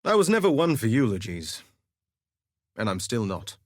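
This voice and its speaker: An actor playing a vain, flirtatious gladiator duelist in a video game, with a theatrical, suave and sardonic delivery. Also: male voice, lowish voice, big pitch swings, moderate pace, dry recording, studio quality. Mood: somber, regretful. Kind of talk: scripted game voice lines, theatrical quips.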